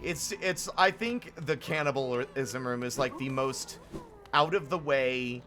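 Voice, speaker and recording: A man's voice: mid-range voice, measured pace. A man chatting casually and expressively to viewers while live-streaming a game, with some swearing. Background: game music.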